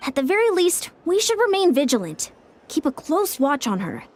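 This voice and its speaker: Earnest, high-voiced young war ally. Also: female voice, sing-song, a little hiss or hum. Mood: serious, cautious. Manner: measured, earnest, cautious. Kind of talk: anime-style video game voice acting.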